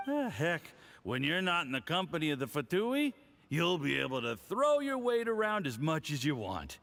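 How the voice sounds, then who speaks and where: Smug diplomat with wildly swinging pitch. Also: male voice, huge pitch swings, gravelly, a bit bright, exaggerated voice. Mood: condescending. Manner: theatrical, patronizing, drawn-out delivery. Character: smug, condescending, tipsy Fatui diplomat, adult man. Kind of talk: video game voice acting.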